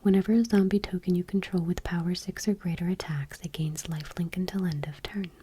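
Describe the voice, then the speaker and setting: A woman doing ASMR, reading gently and unhurriedly in a breathy whisper, with casual asides. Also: on the low side, fairly even intonation, brisk, slight background noise. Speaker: ASMR host.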